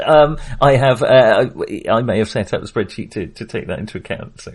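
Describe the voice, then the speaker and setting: A middle-aged man co-hosting a film podcast, talking casually in a measured, hedging, wry way. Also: medium pitch, animated, a little mellow, slightly rough.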